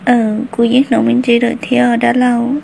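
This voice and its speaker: A woman voicing a female character in an amateur animated cartoon drama. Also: on the high side, normal speed, gravelly, a little hiss or hum. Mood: conversational. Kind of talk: hobby animated cartoon dialogue.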